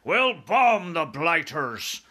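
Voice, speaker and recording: A man's voice: a little high, sing-song, measured pace, bright, forceful tone, breathy edge, voice pushed up, heavily acted. A man voicing a proud, grumpy air force chief in a storybook, brusque and grudging. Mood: irritated. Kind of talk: storybook character voice.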